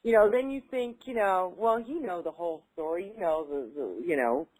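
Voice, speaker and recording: A woman's voice: lowish voice, moderate pace, darkish tone. A woman taking part in a tapping session, echoing phrases back and speaking hesitantly with many fillers when she talks freely. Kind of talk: repeating affirmations, then casual talk.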